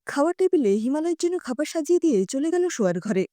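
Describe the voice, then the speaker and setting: A woman narrating a scripted short story in an expressive storytelling style. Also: sits high, fast, dry recording, clean studio sound.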